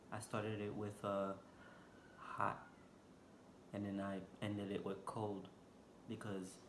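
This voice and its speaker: A man with a Haitian accent, vlogging unscripted from a stool indoors, talking slowly and haltingly with lots of fillers. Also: on the low side. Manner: slow, halting, many fillers. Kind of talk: unscripted casual vlog talk. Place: indoor room, seated on a stool. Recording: echoey room with background noise.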